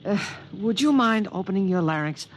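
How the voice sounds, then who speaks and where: Actor doing an invisible alien creature, high excitable voice, halting and searching for words, old 50s radio play. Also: slight background noise, close to an everyday voice, poor audio. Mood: eager, searching. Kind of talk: 1950s radio drama acting.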